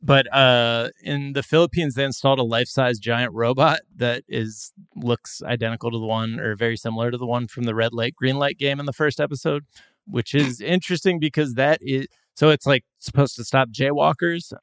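A man hosting a podcast, chatting casually and telling stories with a wry, relaxed manner. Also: mid-range voice, fairly quick, clear, resonant tone, no room sound, clean studio sound. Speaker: podcast host. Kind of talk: casual chat.

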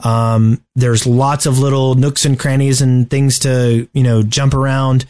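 A man co-hosting a podcast, talking through game strategy casually and haltingly as he thinks aloud. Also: mid-range voice, level tone, a little mellow. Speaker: podcast host.

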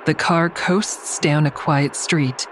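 A woman's voice: low voice, fairly even intonation, takes it slowly, slight background noise. An actress playing a guarded, defiant woman in an audio drama, narrating and speaking in a terse, dry, confiding way. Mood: uneasy. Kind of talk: first-person audio drama narration and dialogue. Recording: faint music under the voice.

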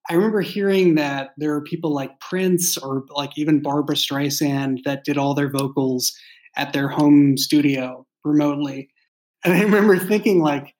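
A man's voice: on the high side, darkish tone. A man speaking as a writer in a casual behind-the-scenes discussion, with a thoughtful, hesitant, rambling way of phrasing things. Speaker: writer and creator of an audio drama.

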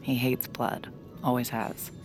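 A woman's voice: extremely low pitch, flat delivery, slow, music in the background. An actress playing a blunt, cynical young woman who reads minds, with dry sardonic inner monologue. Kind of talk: audio drama acting with inner monologue.

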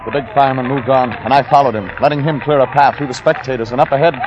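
An actor playing a hard-boiled private detective in a vintage radio drama, narrating in a dry, terse manner. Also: male voice, medium pitch, fairly even intonation, quick pace, noisy background, natural voice. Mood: hard-boiled narration. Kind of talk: 1940s radio acting. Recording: muffled old radio broadcast with music.

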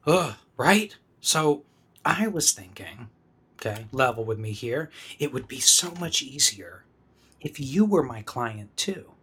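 Husky, flattering talent agent. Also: male voice, medium pitch, slow pace, full character voice. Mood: ingratiating. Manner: eager, ingratiating. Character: smooth-talking, flattering, scheming young male talent agent. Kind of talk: audio comedy acting.

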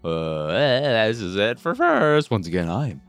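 A man's voice: fairly low pitch, wildly swooping pitch, takes it slowly, clear, resonant tone, soft background music. A man hosting a video game show with casual, sweary, rambling commentary and an exasperated, self-deprecating manner.

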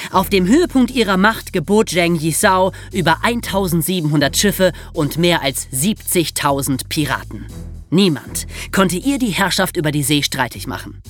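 Animated woman over background music. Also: fairly low pitch. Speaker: podcast co-host. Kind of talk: casual, animated podcast presenting.

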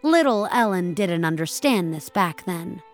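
A woman narrator reading a scripted dramatic story in a measured, deliberate way. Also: on the low side, lively intonation, soft background music.

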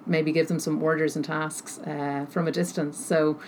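A woman answering interview questions thoughtfully, pausing often as she searches for her words. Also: low-pitched, little pitch movement. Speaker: interview guest, technology researcher. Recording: noticeable background noise.

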